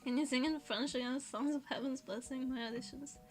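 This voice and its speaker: A woman's voice: sits high, steady tone, fairly quick, a little mellow, soft background music. A woman streaming as a vtuber, rambling in casual chat and laughing often as she answers her chat.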